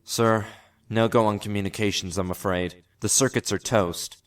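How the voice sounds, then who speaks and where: An actor playing a jittery, excitable starship ensign in an audio drama, sounding worried. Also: male voice, lowish voice, normal speed, recorded from a distance, heavy background noise, natural voice. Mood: dejected, concerned.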